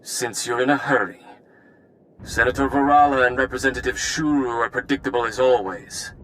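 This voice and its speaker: An actor playing a pompous, formal politician chairing a secret meeting in a video game, stiff and officious. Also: male voice, mid-range voice, fairly even intonation, normal speed, a bit bright, a little hiss or hum, close to an everyday voice, poor audio.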